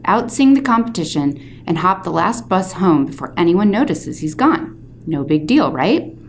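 Female book-talk host, slightly low voice, animated and conversational read-aloud delivery, faint background noise. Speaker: host reading books aloud. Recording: clearly audible background noise.

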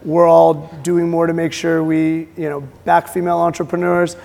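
A man with a gravelly, raspy voice asks questions on a conference stage. He speaks in a flat, narrow tone that sits a little high for a man, and often restarts his phrases. A long hall reverb trails behind him. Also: normal speed. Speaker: interviewer, venture investor. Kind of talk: onstage interview questions.

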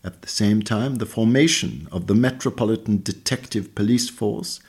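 A man reads a scripted literary essay in a measured, bookish way. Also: fairly low pitch, darkish tone, breathy edge. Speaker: reader of a magazine essay. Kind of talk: scripted narration, read essay.

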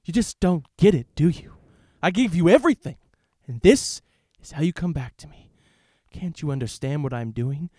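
A man's voice: on the high side, expressive, warm tone, slightly rough, dry recording. A man playing a grandiose, menacing video game villain in a theatrical dramatic reading. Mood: grandiose, pleading. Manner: theatrical, slow menacing pronouncements.

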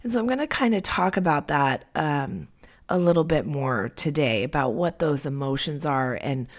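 A woman's voice: low-pitched, expressive, normal speed. A woman hosting a solo podcast in a casual, personal, confiding way, her voice congested from a cold. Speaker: podcast host.

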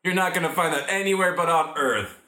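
A man's voice: a little high, a little fast, sharp tone. A man playing an indulgent, solemn parent from beyond Earth in a spoof radio commercial. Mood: indulgent, resigned. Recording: echoey room.

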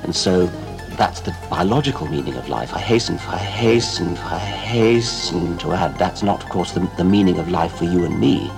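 A man giving a deliberate spoken explanation, qualifying as he goes, over music. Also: sits low, lively intonation, creaky.

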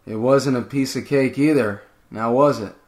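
A man's voice: medium pitch, moderate pace, darkish tone, lightly acted. A man voicing an exasperated, sarcastic starship crewman who gets flustered, in a dramatic fan fiction reading. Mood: wry. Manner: stammering, sardonic.

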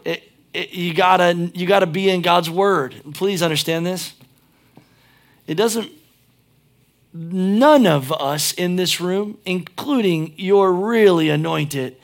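A man's voice: high voice, slow pace. A pastor preaching a sermon, chatting and joking, then driving his points home with emphatic peaks.

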